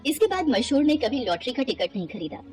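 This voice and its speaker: A woman narrates a cartoon fable in an expressive, scripted style. Her voice is fairly high and moves around a lot, with faint music underneath. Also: brisk. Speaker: story narrator.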